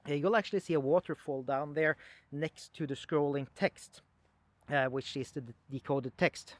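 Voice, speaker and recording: Man, slightly high voice, dry close sound, casual hobbyist demo, halting conversational explanations. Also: no room sound. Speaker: amateur radio hobbyist demonstrating equipment. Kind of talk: casual unscripted video demonstration.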